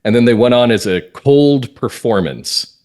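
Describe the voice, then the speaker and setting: An American man talking as a guest writer in a conversational interview, explaining things reflectively and emphatically in short measured phrases. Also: medium pitch, lively intonation, darkish tone. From northern Massachusetts, US. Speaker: guest playwright and comedy writer.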